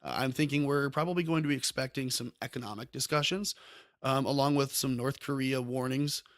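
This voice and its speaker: Male host, mid-pitched, meandering political commentary in a dead-dry room. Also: brisk, no room sound. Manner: rambling, frequent ums and self-corrections. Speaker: podcast host.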